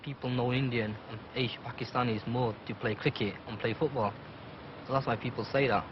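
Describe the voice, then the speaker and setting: Chatty fan interviewee, man, rapid and monotone, muffled old recording with clear background noise. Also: medium pitch. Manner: chatty, full of fillers. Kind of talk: casual interview answers.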